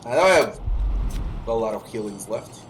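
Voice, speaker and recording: A man's voice: fairly low pitch, expressive, normal speed, slight background noise. A man giving casual commentary while playing a video game, musing aloud to himself. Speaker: gamer. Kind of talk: let's play commentary.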